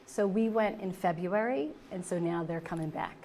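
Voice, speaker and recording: A friendly woman in her forties organizing a school exchange, speaking briskly in a small studio. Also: on the low side, darkish tone, a little hiss or hum.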